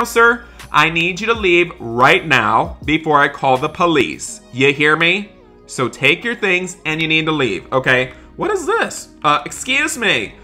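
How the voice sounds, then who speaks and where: A man hosting a roleplay gaming video, narrating excitedly and speaking straight to viewers in an animated, exclamatory way. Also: fairly high pitch. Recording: music behind the voice. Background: background music.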